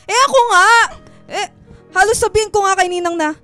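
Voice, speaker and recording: Radio drama girlfriend, played by a woman: young, Filipino, theatrical and clingy, extremely high and piercingly bright, music underneath. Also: a little fast, dry recording. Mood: playfully insistent. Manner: theatrical, whiny, exclamatory. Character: giddy, clingy young girlfriend, playfully melodramatic.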